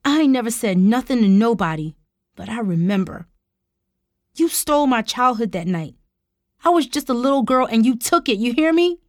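A woman's voice: mid-range voice, lively intonation, moderate pace, no echo, studio quality, lightly acted. A woman voicing a fiercely protective young woman who confronts people intensely, in audiobook style storytelling. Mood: furious, wounded. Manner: growling, hissing, shouting confrontationally.

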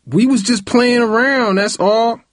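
Man doing a high-pitched defensive teenage boy. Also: measured pace, dry recording, clean studio sound. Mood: pleading, defensive. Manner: protesting, colloquial. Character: defensive teenage boy, pleading with angry elders.

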